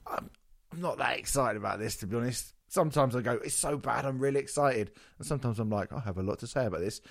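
A man hosting a casual music podcast, jokey, sweary and self-deprecating, his voice a little hoarse from a sore throat. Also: medium pitch, quick pace, no echo, clean studio sound. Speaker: podcast host.

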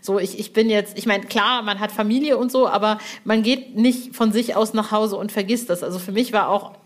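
Effusive woman chatting like an excited fan. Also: medium pitch, brisk. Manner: effusive, colloquial slang with English swearwords. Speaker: podcast host and interviewer. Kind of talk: casual, gushing fan chat.

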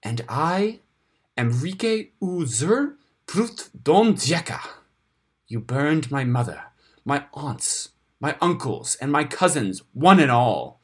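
A solemn bear who leads a clan in a fantasy storybook , voiced by a man. The speech is formal and declamatory, husky and measured, weighted with grief. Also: a little high, big pitch swings, heavily acted. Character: solemn, grieving bear clan leader seeking justice.

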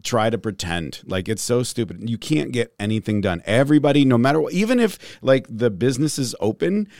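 A man hosting a wellness podcast, upbeat and joking, with his voice raspy from a cold. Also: medium pitch, a little mellow, dry recording, studio quality. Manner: upbeat, joking, prone to rants. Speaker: podcast host, pharmacist. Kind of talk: casual podcast chat. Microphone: studio mic.

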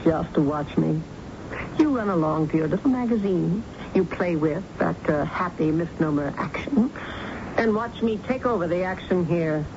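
A woman's voice: on the low side, animated, normal speed. A domineering, steely businesswoman, taunts and defies in radio drama style. She sounds mocking and sure of herself. The old broadcast is dull and noisy. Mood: taunting, defiant. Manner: taunting, defiant.

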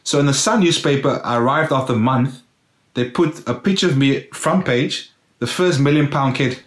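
A man's voice: medium pitch, moderate pace. A middle-aged South African man whose first language is Afrikaans, telling his life story in an interview and acting out his past self with exclamations. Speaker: guest, former footballer. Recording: roomy echo.

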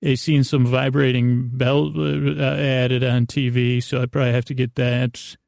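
A man hosting a bedtime podcast in a creaky voice, meandering through a goofy, self-deprecating monologue with halting, trailing sentences. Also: mid-range voice, level tone, a little mellow, no echo, clean studio sound. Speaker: podcast host.

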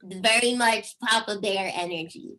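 A young woman with a squeaky speaking voice, a singer chatting over a video call and telling stories with lively acted-out quotes. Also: measured pace, low-quality recording. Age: young adult. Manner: animated storytelling, acts out quotes with big pitch jumps. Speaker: singer guest. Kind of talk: casual interview chat.

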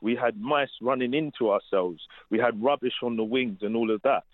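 A man's voice: mid-range voice, a little fast, no room sound. A British man giving casual, colloquial, unscripted answers as an interview guest over the phone. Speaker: interview guest, former prisoner. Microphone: phone call. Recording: narrow, tinny phone line.

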